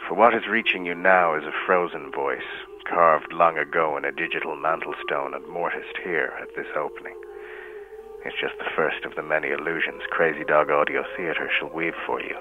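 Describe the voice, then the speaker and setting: A man's voice: extremely low pitch, steady tone. A man playing an ominous, pompous announcer, giving a grandiloquent, mock-portentous radio introduction. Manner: grandiloquent, deliberate. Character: ominous, pompous male announcer warning the listener. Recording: tinny radio-like band with hum, noise.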